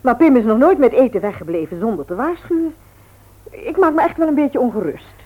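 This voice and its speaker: A woman's voice: on the high side, big pitch swings, fairly quick. An actress playing a worried, scolding middle-aged mother in a Dutch radio play. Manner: fretful, reproachful, motherly. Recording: muffled phone-like old radio recording.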